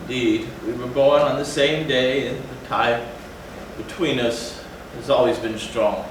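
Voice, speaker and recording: A man's voice: medium pitch, fairly even intonation, unhurried, noisy background, lightly acted. A man playing a grave, formal Gothic stage role, reciting dramatically in a theatrical style. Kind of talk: theatrical readers theatre performance. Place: theatre stage, recorded from a distance. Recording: echoey hall, distant mic, loud music.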